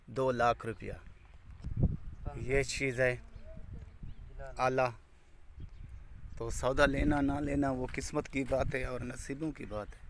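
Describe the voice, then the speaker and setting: A man selling goats in a casual farm vlog, speaking slowly and calling viewers "my dear brother". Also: slight background noise. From Pakistan. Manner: slow, warm-hearted salesman's pitch, calls viewers "my dear brother". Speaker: goat farmer selling goats. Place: outdoors on a rural brick-paved farmyard. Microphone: phone camera held by the speaker. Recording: outdoor phone audio with goats bleating.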